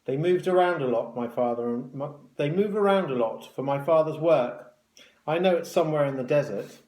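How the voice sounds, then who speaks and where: A man's voice: fairly high pitch, normal speed, a little mellow, close to an everyday voice. A man voicing a timid, polite boarding-school boy with a hesitant manner in a children's story. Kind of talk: children's story read aloud.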